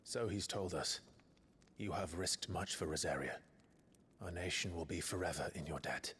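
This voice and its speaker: A man's voice: deep voice, moderate pace, a bit bright, husky, heavily acted. A young man playing an earnest, reserved hero speaking to his uncle in a video game cutscene. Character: earnest, serious young hero, respectful nephew. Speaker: nephew, traveler seeking a ship.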